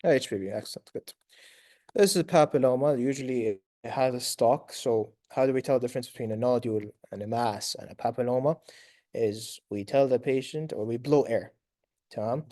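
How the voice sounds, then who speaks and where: Male medical lecturer, raspy and dark-toned, dry close sound, brisk quizzing manner. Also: mid-range voice, no room sound. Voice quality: raspy from long talking. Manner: quizzes students, praises answers briskly; sprinkles Arabic fillers like tamam, inshallah. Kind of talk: lecture to students.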